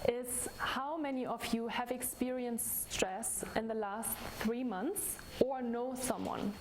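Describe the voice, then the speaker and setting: Woman, 30s, German accent, coach speaking live to an audience; friendly and chatty, slightly high voice, faint background hiss. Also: fairly even intonation, slow. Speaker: stress management coach. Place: room with audience.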